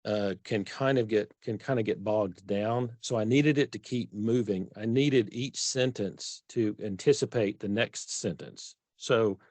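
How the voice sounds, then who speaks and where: Low-voiced Texan man, earnest. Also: a little slow, few pauses, darkish tone, no room sound. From Texas. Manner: earnest, self-correcting restarts. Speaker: author guest. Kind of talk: conversational interview.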